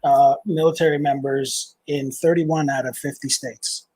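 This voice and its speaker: A middle-aged man, a nonprofit founder, answering interview questions in a halting, casual way. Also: on the high side, fairly even intonation, mellow, slightly rough, dry recording, rough recording. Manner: halting, with many "uh"s and restarts.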